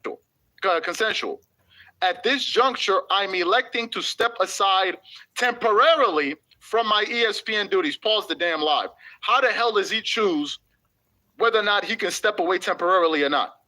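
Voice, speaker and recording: A man with a high, very bright and sharp voice reads a written statement aloud. He cuts in with pointed, sarcastic rhetorical questions and picks the wording apart. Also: expressive, moderate pace, low-quality recording. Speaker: commentator reading a news article. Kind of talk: reading a statement aloud with commentary.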